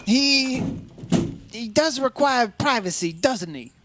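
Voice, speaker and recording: A man's voice: extremely high pitch, lively intonation, slow, noisy background, exaggerated voice. A man playing a straight-talking, inquisitive insurance investigator in an old-time radio detective drama, in plain, clipped phrases. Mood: probing, casual.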